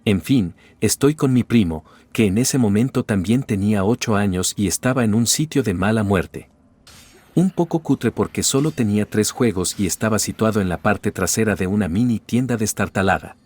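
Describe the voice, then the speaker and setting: A man narrating first-person stories in a steady, even reading voice. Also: fairly low pitch, moderate pace. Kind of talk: scripted narration.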